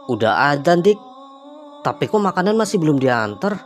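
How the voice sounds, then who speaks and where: Casting: male actor for young man, cartoon hotel guest, easygoing yet jumpy, slightly higher register. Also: big pitch swings, normal speed. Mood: casual. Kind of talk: cartoon dialogue, casual slang.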